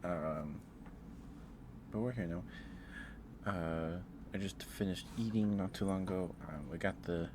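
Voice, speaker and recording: A soft-spoken man chatting casually and intimately with viewers on an ASMR livestream. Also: low voice, slow pace, long pauses, noisy background.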